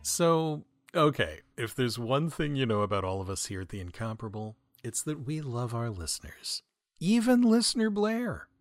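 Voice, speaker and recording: A man hosting a comedy podcast in a wry monologue, deadpan and mock-grandiose, with florid, wordy phrasing. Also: medium pitch, moderate pace, no echo, faint music behind. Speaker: podcast host, writer and audio editor. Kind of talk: wry comedic monologue, answering listener mail.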